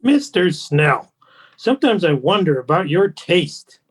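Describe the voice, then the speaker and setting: A man playing a suave, condescending gentleman in a radio play, speaking in a genteel, patronizing manner. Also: high-pitched, sing-song, a little slow, breathy edge, exaggerated voice.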